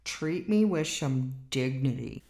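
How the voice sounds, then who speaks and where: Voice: medium pitch, lively intonation, slow pace, vocal fry, a little mellow, reverberant. An actor voicing a grumpy, haughty talking house cat in an audio drama, snide and indignant. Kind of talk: audio drama character acting.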